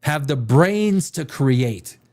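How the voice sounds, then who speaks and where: A man's voice: on the high side, lively intonation, takes it slowly, darkish tone. Opinionated man chatting casually. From Canada. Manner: opinionated, emphatic, repeats phrases for emphasis. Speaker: livestream host. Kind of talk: casual film chat, rambling commentary.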